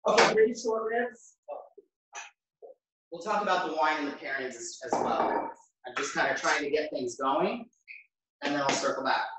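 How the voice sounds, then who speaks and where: A man's voice: on the high side, lively intonation, moderate pace, pauses often, heavy background noise. An American man in his fifties giving a casual, chatty live cooking demonstration, thinking aloud as he works. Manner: chatty, informal, thinking aloud while working. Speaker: chef hosting a cooking demonstration. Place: home kitchen, indoors. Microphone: camera a few metres away. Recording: very echoey kitchen, distant microphone.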